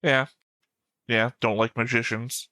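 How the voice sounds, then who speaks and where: A man's voice: mid-range voice, flat delivery, unhurried, pushed and bright, dry recording, studio quality. A man in a casual fan discussion as a show co-host, talking in a pedantic, insistent way.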